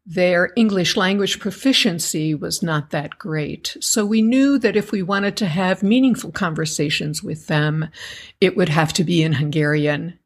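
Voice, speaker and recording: A middle-aged woman from the United States, answering interview questions in a measured, articulate way. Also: fairly low pitch. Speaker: guest, educators association member.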